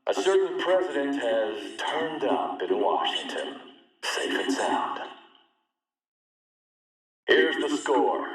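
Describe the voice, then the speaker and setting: A man playing a ruthless, middle-aged militia warlord in a video game, with a rough husky voice and a commanding, threatening manner. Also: mid-range voice, dry recording, heavily acted, low-quality recording. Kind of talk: scripted video game character lines.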